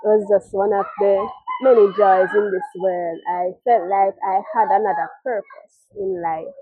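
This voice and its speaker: A young woman with a Caribbean accent gives an earnest, halting personal testimony as a mother, often repeating her phrases. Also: medium pitch, fairly even intonation, background noise. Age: young adult. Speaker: mother telling her life story. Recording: muffled, narrow phone-like audio.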